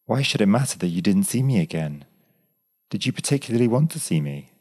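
An impulsive young woman in love speaks breathlessly, pleading and passionate, voiced by a man reading the story aloud. The voice is pitched up from a low register. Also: very animated, brisk, a little mellow.

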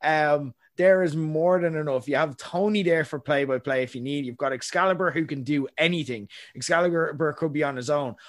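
A man's voice: fairly high pitch, fairly quick, few pauses. A young adult man, hosting a podcast and giving rambling, opinionated takes. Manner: rambling, opinionated, frequent fillers. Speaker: podcast host. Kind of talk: casual chat.

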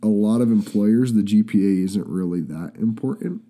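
A man's voice: on the low side, normal speed, mellow. A young man with a stuffy nose from a cold, hosting a casual podcast and chatting with many restarts. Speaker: podcast host. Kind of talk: casual chat.

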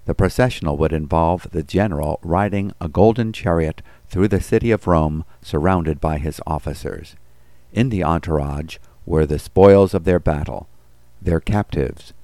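A man's voice: low-pitched, mellow, no room sound. A man reading scripted devotional commentary and scripture as a pastor.

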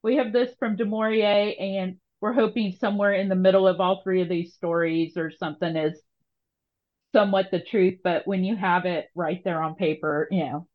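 A woman's voice: mid-range voice, fairly even intonation, moderate pace. Woman podcast host, slightly dull audio. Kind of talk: casual conversational interview.

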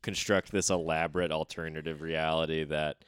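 Man, 30s, comedy podcast co-host, very low voice, smooth, wry quips, dry sound. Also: little pitch movement, normal speed, a bit bright, no echo. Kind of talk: casual comedic chat. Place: comedy club green room.

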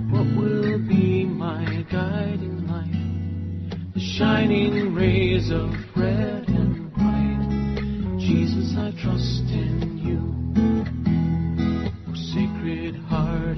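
A woman singing a devotional worship song, accompanied by guitar. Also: fairly low pitch. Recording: slightly dull recording with loud guitar. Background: plucked guitar music.

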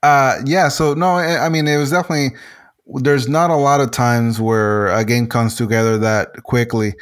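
Puerto Rican game designer, halting speech. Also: male voice, mid-range voice, steady tone. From Puerto Rico. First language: Spanish. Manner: halting, many restarts and fillers. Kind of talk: casual interview answers.

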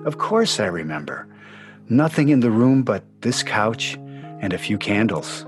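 Man acting an animated, plaintive ex-husband in a radio play. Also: lowish voice, measured pace, faint music behind. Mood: wistful. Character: aggrieved, sentimental ex-husband, a sports fan attached to a couch.

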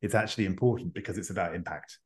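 A man's voice: low voice, racing, a little mellow. Man in an echoey room. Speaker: podcast guest, executive coach and author. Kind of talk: conversational interview. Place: indoor room, remote video call. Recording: echoey room on a remote call.

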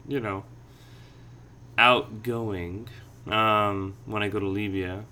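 A man with a lowish voice and a bright, crisp edge to it. He talks in a soft-spoken, reflective way, circling around his thoughts in relaxed podcast conversation. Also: takes it slowly. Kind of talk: casual podcast chat.

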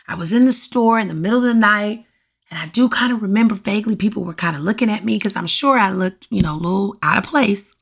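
A middle-aged woman hosts her own podcast, speaking straight to her listeners in a motivational monologue. Her voice has a gravelly, raspy edge, and she drives her points home by repeating questions with emphasis. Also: mid-range voice, quick pace. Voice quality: raspy at times. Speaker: podcast host.

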